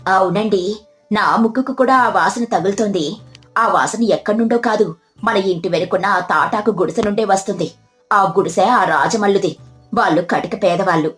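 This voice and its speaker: A woman's voice: mid-range voice, moderate pace, soft background music, close to an everyday voice. A woman as a haughty rich village wife. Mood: surprised. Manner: complaining, haughty. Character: proud, snobbish rich village headman's wife.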